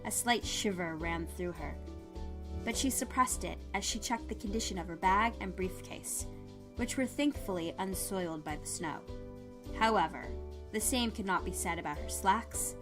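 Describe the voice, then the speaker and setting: A woman's voice: mid-range voice, moderate pace. Casting: female story narrator, mid-range voice, reading scripted fiction over music.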